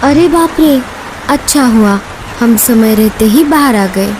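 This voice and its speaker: A woman's voice: fairly high pitch, lively intonation, takes it slowly, noisy background. A woman voicing an anxious, protective mother bird in a children's cartoon, with a dramatic, exclamatory delivery. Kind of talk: Hindi children's cartoon dubbing.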